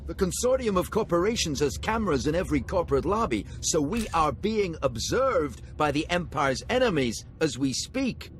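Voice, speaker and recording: A man's voice: fairly high pitch, very animated, normal speed, hardly any pauses, slight background noise. A man voicing an officious guard captain in a video game. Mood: officious bluster. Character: officious male guard captain, secretly deferential.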